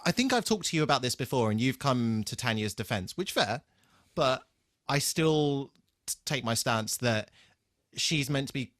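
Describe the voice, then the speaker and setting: A man's voice: mid-range voice, animated, moderate pace, a bit bright, no echo, studio quality. Sweary, jokey man chatting on a podcast. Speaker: podcast co-host. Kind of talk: casual TV episode discussion.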